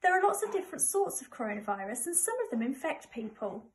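Middle-aged English woman, notably high voice, primary school storytime read-aloud. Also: big pitch swings, fast talker. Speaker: primary school staff member reading to pupils. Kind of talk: children's book read aloud.

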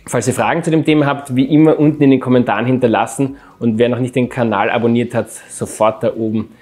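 A man's voice: medium pitch, fairly even intonation, fast talker, a little mellow, breathy edge, faint music behind. Austrian man explaining like a presenting doctor. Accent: Austrian German. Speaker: plastic surgeon and video presenter. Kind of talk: explanatory presentation to camera and surgery commentary. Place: small bright office indoors.

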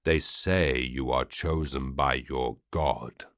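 A man voicing a giant alien warlord in an audiobook, cold and contemptuous, with a breathy growl and drawn-out, menacing delivery. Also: very deep voice, a bit bright, no room sound, pitched below the performer's own voice, exaggerated voice. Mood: cold menace. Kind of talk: audiobook character acting.

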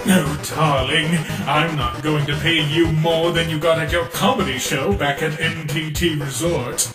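A man's voice: fairly high pitch, fairly even intonation, unhurried, lightly acted. A man voicing a vain, theatrical robot game show host in a comic dub, grandiose and gushing. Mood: dismissive. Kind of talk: comic dub character acting. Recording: echoey hall reverb, music behind.

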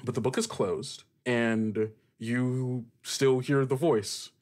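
A man working as game master, improvising tabletop narration in a halting way as he searches for words. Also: mid-range voice, fairly even intonation, darkish tone. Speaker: game master, podcast host.

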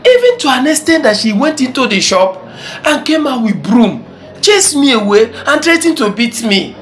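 A bewildered young man, played by a man, pleads and tells his troubles in a high voice. His pitch swings widely as he talks, with faint music underneath. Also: sing-song, moderate pace, fairly bright tone, slight background noise, full character voice. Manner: pleading, confused. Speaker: job seeker.

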